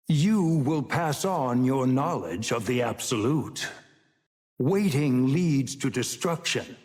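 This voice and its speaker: An ancient, wise old male mentor from a video game. He is solemn and cryptic, measuring out each phrase slowly in a mid-pitched voice that rises and falls widely. A long hall reverb trails behind his grave delivery. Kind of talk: video game hero voice lines.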